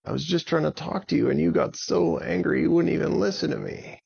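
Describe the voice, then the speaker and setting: A caring storybook friend, played by a man, with a gravelly, husky voice. He is earnest and reassuring, gently repeating himself, on a slightly muffled recording. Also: fairly low pitch, a little slow. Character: calm, caring male friend soothing a dragon.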